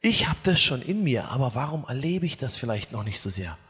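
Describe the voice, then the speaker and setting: A man preaching a sermon as pastor, telling stories with humor in a lively way. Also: mid-range voice, a little fast, slightly rough. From not from Bavaria or Austria. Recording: echoey hall, faint music.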